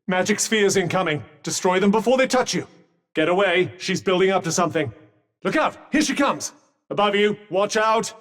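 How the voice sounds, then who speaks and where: A man's voice: high-pitched, in a large hall. A man voicing a sardonic talking magic bracelet in a video game, firing off dry, sarcastic quips and urgent combat warnings. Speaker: voice actor.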